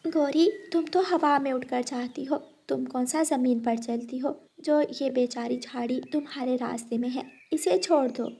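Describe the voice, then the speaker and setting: A woman's voice: high voice, fairly even intonation, normal speed, few pauses, mellow, breathy edge. A woman voicing a kind, protective mother bird in a cartoon, pleading and reasoning. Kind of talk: Hindi moral cartoon character acting.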